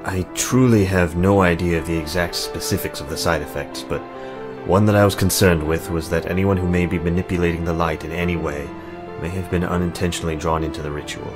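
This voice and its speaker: Man acting low-voiced formal scholarly young mage. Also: steady tone, close to an everyday voice. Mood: grave, explanatory. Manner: formal, explanatory, measured. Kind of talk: visual novel character acting. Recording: music bed behind the voice.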